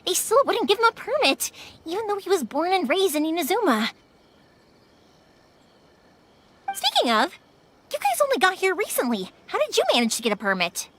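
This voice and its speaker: A woman's voice: very high voice, fast, slight background noise, exaggerated voice. A woman voicing a caring young woman who makes fireworks in a video game, chatty and reassuring. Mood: regretful, thoughtful. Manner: animated, reassuring, casually chatty. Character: cheerful, caring young woman fireworks maker, loyal to customers. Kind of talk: English video game voice acting.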